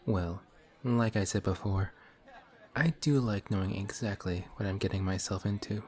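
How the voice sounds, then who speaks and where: A man's voice: fairly low pitch, fairly even intonation, normal speed, lightly acted. A man playing a suave, polite villain. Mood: sly menacing. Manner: courteous, formal, sly and quietly taunting. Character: suave, polite male villain in civilian clothes. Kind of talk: one-sided audio roleplay. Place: coffee shop scene with faint café chatter.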